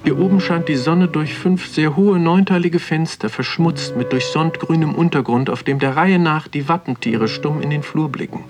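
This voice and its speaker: A pensive young man, played by an actor, with a slightly high male voice, thinks aloud in a musing, observant way. It is a literary radio drama monologue with music underneath. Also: moderate pace, hardly any pauses, natural voice. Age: young adult.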